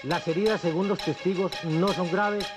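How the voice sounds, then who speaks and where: Grave high-pitched Colombian newsman over music. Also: fairly even intonation. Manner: grave, halting, formal. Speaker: news anchor. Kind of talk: urgent breaking-news bulletin.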